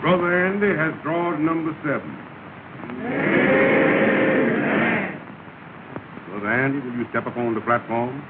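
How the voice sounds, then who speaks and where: A pompous lodge chief. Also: male voice, a little high, very animated, a little slow, long pauses. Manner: grandiose, garbled big words. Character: pompous male lodge leader, blustering. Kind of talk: 1930s film comedy acting. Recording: hissy, tinny 1930 film soundtrack.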